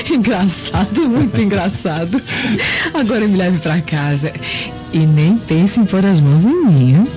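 An actress in a radio drama playing a haughty, scheming noblewoman, sharp, commanding and scornful. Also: mid-range voice, animated, unhurried, natural voice. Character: haughty, scheming noblewoman keeping her suitor at bay. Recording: muffled radio drama, music behind.

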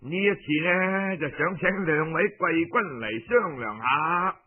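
A man's voice: fairly high pitch, animated, measured pace, narrow, tinny audio. A male storyteller voicing a self-important, pushy ancient Chinese duke in a pompous, formal manner for an audiobook tale.

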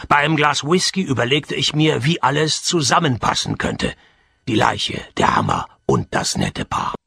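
An actor playing a jaded middle-aged private detective in a radio play, husky and deadpan. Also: male voice, medium pitch, measured pace, heavily acted. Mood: brooding, dry. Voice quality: husky, gravelly. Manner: deadpan, sardonic. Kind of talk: radio play, dry noir narration and dialogue.

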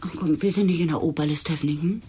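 A woman acting in a radio crime drama as a sardonic, no-nonsense policewoman, terse and dry with a husky voice, in a naturalistic style. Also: low voice, fast talker, dark tone, slight background noise, lightly acted. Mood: probing, sardonic.

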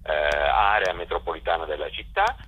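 Man, husky, low-pitched, festival director in interview, hesitant measured delivery, narrow telephone-like sound. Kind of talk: interview, presenting a festival programme. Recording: muffled phone-like radio interview.

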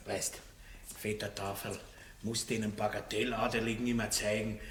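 A man's voice: fairly low pitch, little pitch movement, moderate pace, fairly bright tone, natural voice. Man acting haughty baron, muttered aside, radio play, echoing, faint noise. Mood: condescending aside. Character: condescending, pompous aristocratic male baron. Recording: roomy, distant old radio recording.

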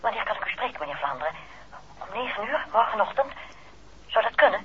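A woman's voice: medium pitch, very animated, fast talker. An actress playing an anxious, secretive married woman speaking urgently over a telephone in a 1960s radio drama. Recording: muffled noisy old radio, phone line.

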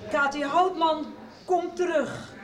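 A woman's voice: sits high, steady tone, takes it slowly. An actress playing a distraught mother and artist in a Dutch radio soap. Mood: calling out. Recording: echoey, distant, loud crowd noise.

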